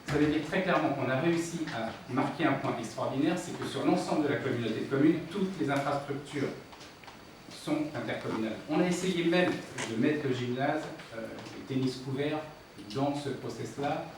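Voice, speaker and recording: A man's voice: medium pitch, normal speed. A man arguing as a local official in a council debate, insistent and emphatic, repeating his points. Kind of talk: political debate, explaining and arguing. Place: indoor municipal council meeting. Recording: very echoey room, distant mic, noisy.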